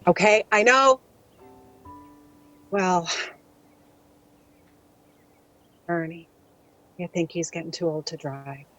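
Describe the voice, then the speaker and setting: Lively, low-voiced, no-nonsense wife. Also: female voice, a little slow, soft background music, low-quality recording. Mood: teasing. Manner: blunt, dry, prodding. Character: writer's wife, no-nonsense, teasing, prodding. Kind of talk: live audio drama reading.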